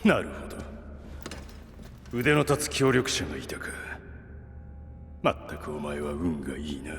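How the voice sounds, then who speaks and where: A man playing a cold, calculating knight commander and villain in an anime game, measured, condescending and ominous. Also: extremely low pitch, very animated, fairly bright tone, slight background noise, full character voice. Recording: long hall reverb, faint music.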